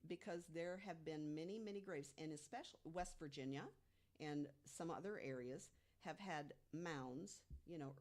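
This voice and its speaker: A woman with a low-pitched voice talks as a panelist on a podcast. She lays out her material like a storyteller, deliberately and conversationally, pausing for emphasis and posing rhetorical questions. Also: a little mellow. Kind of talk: conversational storytelling about mysteries.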